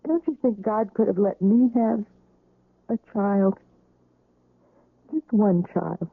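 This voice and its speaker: A woman's voice: medium pitch, takes it slowly, creaky, breathy edge, no echo, full character voice. An actress playing a sentimental working woman who is a hospital patient, in a 1970s-style radio drama. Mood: wistful, emotional. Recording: dry, thin phone-like old radio recording.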